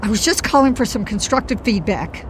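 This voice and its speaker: A woman's voice: medium pitch, lively intonation, a little fast, close to an everyday voice. A woman playing an anxious, dramatic middle-aged mother in an audio drama, flustered and a little prim. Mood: flustered, defensive. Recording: faint noise behind the voice.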